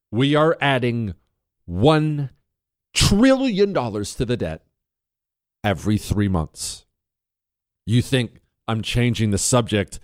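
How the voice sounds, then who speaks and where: A man's voice: mid-range voice, a little mellow, no room sound, studio quality. An American man hosting political talk radio, delivering an opinionated monologue in an emphatic, dramatic manner, leaning on rhetorical questions and repetition. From United States. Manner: emphatic, dramatic, slowing down on key words.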